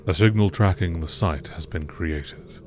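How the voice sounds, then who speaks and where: A man reading scripted text as a narrator in nature documentary style, with a measured, matter-of-fact, formal delivery. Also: low-pitched, a little mellow, slight background noise. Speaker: narrator reading out game lore.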